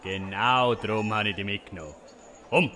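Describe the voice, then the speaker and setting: A man's voice: on the low side, moderate pace, pushed and bright, a little hiss or hum. An actor playing a stubborn, boastful folk-hero father with a Swiss German accent in a comic audio play. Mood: cocky. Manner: defiant, declamatory.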